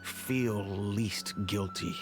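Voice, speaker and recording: Low-voiced, earnest middle-aged father. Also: huge pitch swings, noisy background, full character voice. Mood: regretful. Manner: measured, formal, earnest. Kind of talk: video game character acting.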